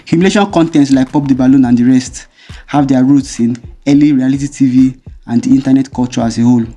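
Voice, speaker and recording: A man hosting a commentary channel, reading a measured, explanatory script. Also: medium pitch, fairly even intonation, dark tone. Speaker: video essay host.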